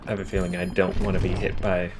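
A man's voice: low-pitched, completely flat delivery, fast. A man chatting casually and playfully while playing a co-op video game, often exclaiming. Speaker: let's player. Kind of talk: casual co-op gameplay chat. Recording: game music behind the voice. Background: video game music.

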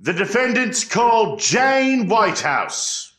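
A man's voice: high voice, big pitch swings, slow pace, bright, forceful tone, heavily acted. A man acting a formal colonial court clerk, speaking ceremonially in a historical trial drama. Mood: solemn, formal. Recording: roomy courtroom-like echo.

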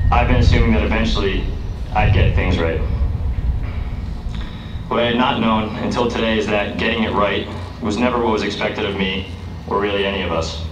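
A man's voice: fairly low pitch, background noise. A young man speaking at a podium on an outdoor lawn, delivering an earnest commencement speech that rises to emphatic declaiming. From United States. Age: young adult. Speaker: student speaker. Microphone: podium microphone, recorded by a distant camera. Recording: roomy, distant, dull outdoor PA sound.

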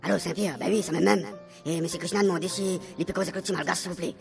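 A man's voice: sits high, steady tone, very fast, creaky, far from the mic. A man with a Réunion Creole accent playing a chatty, obliging spirit in a comic radio serial, with a rattling, colloquial delivery. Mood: polite, obliging. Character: chatty obliging spirit acting as go-between for a medium. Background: music under the voice.

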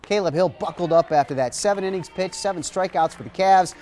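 A middle-aged man anchoring sports news in a TV studio, reading scripted stories and calling highlights. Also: brisk, darkish tone, slight background noise.

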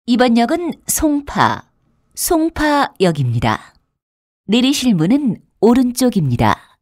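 A woman delivers scripted subway announcements. Her voice is fairly high, with very lively intonation. She is formal and polite, enunciating carefully in a very dry recording. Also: slow pace, no echo.

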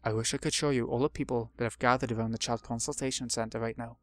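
A man's voice: mid-range voice, steady tone, quick pace, no echo, faint music behind, natural voice. A man voicing an earnest, eager boy reassuring a friend.

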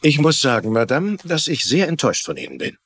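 Husky, stern police commissioner. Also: male voice, mid-range voice, huge pitch swings, brisk, a bit bright, exaggerated voice. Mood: stern, disappointed. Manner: formal, admonishing. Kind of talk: German-dubbed TV crime drama acting.

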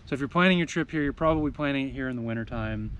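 Relaxed man chatting outdoors beside a camper. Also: medium pitch, very fast. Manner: relaxed, conversational, enthusiastic about scenery. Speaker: travel vlogger. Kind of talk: casual travel vlog chat. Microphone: camera on a tripod, seated. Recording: outdoor recording with audible background noise.

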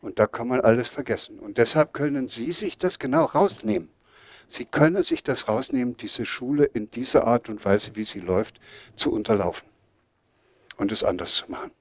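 A man's voice: mid-range voice, expressive, normal speed, no room sound. An older man speaking bluntly and emphatically as he answers audience questions at an outdoor lecture. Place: outdoors in a garden before a seated audience.

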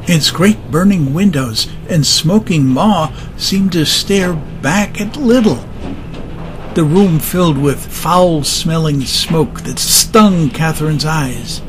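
A man's voice: a little high, slow pace, noisy background. A man narrating a dramatized audiobook in a dramatic scripted style, with music underneath.

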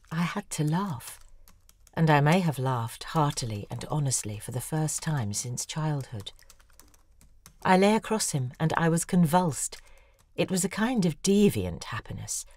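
An obsessive, intense woman in love confesses in an intimate audio drama monologue. Her voice is deep and husky, with very lively swings of pitch. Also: dry recording, full character voice.

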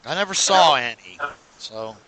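A man's voice: mid-range voice, lively intonation, a little slow, background noise. A man joking crudely with friends on a group voice call. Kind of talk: casual group voice chat.

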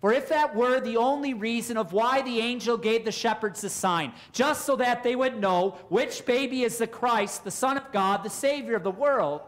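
A man, a pastor preaching a sermon in a deliberate, explanatory way with rhetorical questions. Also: very high-pitched, a bit bright. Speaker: Lutheran pastor. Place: church, at a pulpit indoors.

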